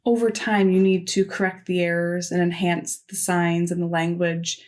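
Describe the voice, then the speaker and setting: A woman interprets a signed keynote into spoken English, her voice sitting slightly low. She works phrase by phrase, measured and steady, pausing as she follows the signer. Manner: measured, delivered in short phrases with pauses. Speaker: sign language interpreter voicing a keynote. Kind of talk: simultaneous interpretation of a conference talk.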